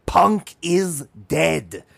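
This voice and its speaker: Sassy male barkeep: gravelly, slow drawn-out delivery, wry and world-weary, audio drama. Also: fairly high pitch, expressive, fairly bright tone, no room sound, full character voice. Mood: wry, teasing. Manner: dry, sassy.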